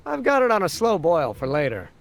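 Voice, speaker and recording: A man's voice: high-pitched, wildly swooping pitch, brisk, creaky, background noise, exaggerated voice. A man voicing an eager, earnest young amateur scientist in a video game, explaining things precisely and with enthusiasm. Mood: chatty, enthusiastic. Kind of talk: video game character acting.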